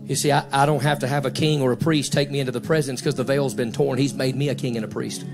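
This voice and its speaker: A man's voice: medium pitch, steady tone, quick pace, over music. A man in his forties with a Southern US accent, preaching a fiery, folksy sermon as pastor on a handheld mic, moving between plain talk and emphatic declarations. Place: large church stage, indoors. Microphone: handheld microphone.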